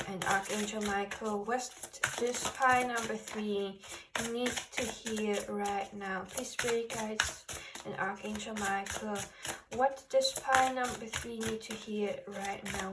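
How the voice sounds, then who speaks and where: Female tarot reader, non-native English, slow halting spiritual delivery, recorded off-mic at a distance. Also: medium pitch, noisy background. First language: not English. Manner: halting, searching for words. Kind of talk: spiritual card reading to camera.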